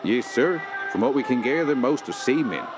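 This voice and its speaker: Actor as uneasy policeman, medium pitch. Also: expressive, moderate pace, background noise, natural voice. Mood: uneasy, businesslike. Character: uneasy, plain-spoken male police subordinate reporting. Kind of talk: radio horror drama acting. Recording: music and outdoor effects behind.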